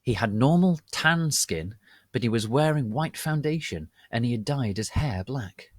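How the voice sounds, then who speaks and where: A man giving a theatrical, deliberate dramatic reading of a story as its narrator. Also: mid-range voice, expressive, no room sound, studio quality. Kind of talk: dramatic reading of fan fiction.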